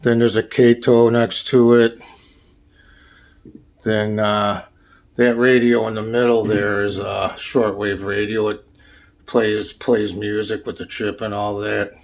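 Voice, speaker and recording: A man with a fairly low voice and a gravelly fry gives an informal tour of his collection. He talks slowly and haltingly, feeling for names as he goes. The delivery is unscripted and casual, like a hobbyist showing things off. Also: level tone. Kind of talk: casual show-and-tell narration.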